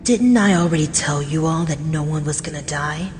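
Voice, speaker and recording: Woman doing a calm smug young boy-genius detective, low measured voice, anime dub, loud music behind. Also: close to an everyday voice. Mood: cool, assured. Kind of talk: anime fan dub acting.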